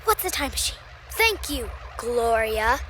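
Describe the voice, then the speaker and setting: A woman's voice: on the high side, sing-song, measured pace, bright, forceful tone, slight background noise, full character voice. A woman voicing an eager, boastful kid in a children's puppet show, with an emphatic, showy delivery. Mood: proud, showy. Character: eager, boastful kid named Norman, proud of invention.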